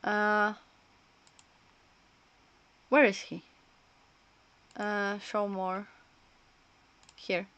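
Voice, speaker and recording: A woman's voice: medium pitch, little pitch movement, extremely slow, pauses often, fairly bright tone, clear, resonant tone, dry recording. A woman streaming a game, commenting casually in a chatty, rambling, hesitant way. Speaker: livestreamer.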